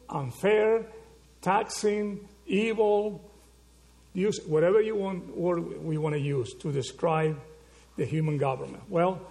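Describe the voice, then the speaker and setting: A man's voice: high voice, vocal fry, darkish tone. A man from Argentina, preaching a sermon, asking rhetorical questions and checking in with "okay?" and "right?". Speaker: pastor.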